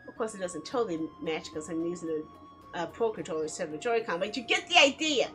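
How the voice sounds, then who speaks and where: A woman streaming a game in a casual, expressive, chatty way, reading story text aloud and reacting to it. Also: medium pitch. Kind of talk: casual live let's play, reading visual novel text aloud. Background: background music.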